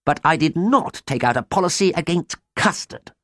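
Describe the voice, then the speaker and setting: A storybook gentleman farmer, pitched high and swinging wildly. He wails and stammers with overwrought, melodramatic feeling, in a close, dry recording. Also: huge pitch swings, moderate pace, slightly rough, no room sound, heavily acted. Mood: sobbing despair. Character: melodramatic, ruined gentleman farmer, sobbing and easily lovestruck.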